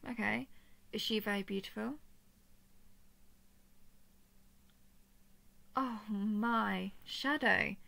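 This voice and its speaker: A woman's voice: medium pitch, vocal fry, a bit bright. A woman voicing an affectionate, playful and easily flustered female dragon in an intimate audio roleplay. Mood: teasing, curious. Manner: tender, teasing, halting when flustered.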